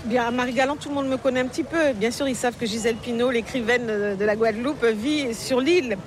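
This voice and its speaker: Une femme d'âge moyen, romancière originaire de Paris, répond en entretien de façon réfléchie et hésitante, avec des « euh » et des reprises fréquentes. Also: female voice, a little high, quick pace. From Paris, France. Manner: reflective, hesitant with frequent fillers and restarts. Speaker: novelist guest. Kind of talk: casual interview conversation. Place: outdoor market, among people. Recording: busy crowd noise outdoors. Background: crowd chatter, passing vehicles.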